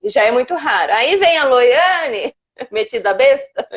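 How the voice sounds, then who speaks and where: Woman hosting a live chat, fairly high voice, playful teasing interjections. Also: expressive, a little slow, muffled recording. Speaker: host. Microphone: phone or laptop on a live video call.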